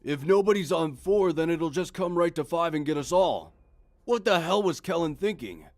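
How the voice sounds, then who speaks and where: A man's voice: fairly high pitch, expressive, moderate pace, pitched above the performer's own voice. A man voicing a frightened male night guard who speaks up in alarm. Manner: alarmed, agitated.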